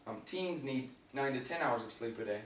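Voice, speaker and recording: A young man with a raspy voice giving an informative class speech, reading from notes with fillers and restarts. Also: medium pitch, expressive, normal speed, fairly bright tone. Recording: echoey room, mic far off, faint hum.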